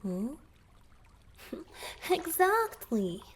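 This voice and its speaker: A shy young merman boyfriend, acted by a woman, talks to the listener in a romantic ASMR roleplay. The voice sits fairly high and moves very slowly, hesitant and easily flustered yet gently earnest. Faint noise and trickling water lie behind it. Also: sing-song, full character voice. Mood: explaining, earnest. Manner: hesitant, flustered, with sighs and murmured asides.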